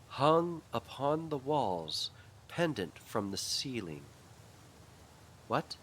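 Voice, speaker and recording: A man with a fairly low voice gives an atmospheric literary reading of a ghost story. He goes extremely slowly and deliberately, pausing between short phrases, with animated swings in pitch. The delivery is hushed and theatrical. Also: a little hiss or hum.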